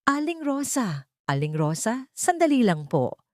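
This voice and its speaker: A woman's voice: fairly high pitch, sing-song, a little slow, no room sound, studio quality, pitched above the performer's own voice. A woman voicing a brave, caring young market worker in an audiobook drama, earnest and steadfast. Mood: worried.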